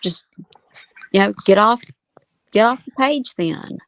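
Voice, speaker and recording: A woman's voice: medium pitch, expressive, slow, rough recording. A woman giving casual commentary, repeating her points and asking rhetorical questions. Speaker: video commentator. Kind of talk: casual commentary reacting to a clip.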